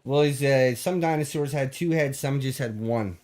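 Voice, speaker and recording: A man hosting a call-in show, bantering deadpan with a caller, full of hesitations and restarts. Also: mid-range voice, moderate pace, slight background noise. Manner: hesitant, full of ums and restarts, straight-faced.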